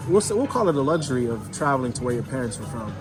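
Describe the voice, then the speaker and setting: A man's voice: mid-range voice, big pitch swings, racing, over music. A man hosting a casual interview over a meal, rambling with lots of "you know" and "like". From United States. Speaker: host.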